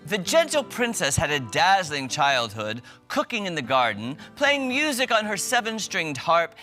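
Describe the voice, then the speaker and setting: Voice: medium pitch, wildly swooping pitch, moderate pace, bright, forceful tone. A TV show host in playful banter, reading a silly fairy tale aloud with emphasis, music playing behind.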